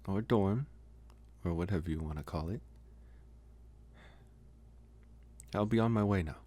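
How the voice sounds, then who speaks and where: A man playing a gentle, lonely young college vampire in an intimate ASMR roleplay, speaking softly and haltingly with long pauses. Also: low voice, slightly rough. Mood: apologetic, grateful.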